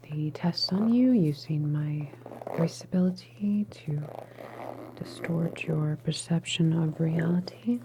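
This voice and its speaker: Slow whispering unhinged female experimenter, acted by a woman. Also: sits low, background noise. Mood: casually menacing. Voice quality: breathy whisper. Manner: unhurried, murmured, offhand and clinical. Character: unhinged female superpowered experimenter, casually clinical, faintly menacing. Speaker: ASMR roleplay performer. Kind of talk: whispered ASMR medical exam roleplay.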